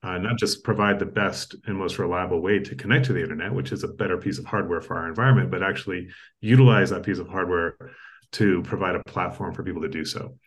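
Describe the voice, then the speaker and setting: Male guest from East Africa, low voice, measured and hesitant, video-call interview. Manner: measured, frequent ums and restarts. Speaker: guest, tech entrepreneur. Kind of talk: conversational interview storytelling.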